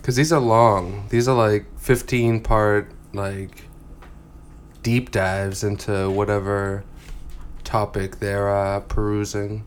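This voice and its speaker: A man's voice: fairly low pitch, little pitch movement, slow, slight background noise. A man co-hosting a podcast, chatting casually and jokingly about movies in a rambling way, getting over a cold. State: lingering cough from a cold. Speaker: podcast co-host.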